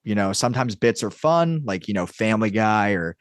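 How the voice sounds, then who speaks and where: A man with a fairly low voice talks casually as an interview guest. He explains at length, rambling and cutting into his own sentences. The sound is very dry, with no room around it. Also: expressive, fairly quick, clear, resonant tone, no room sound. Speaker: podcast guest, audio drama creator and writer.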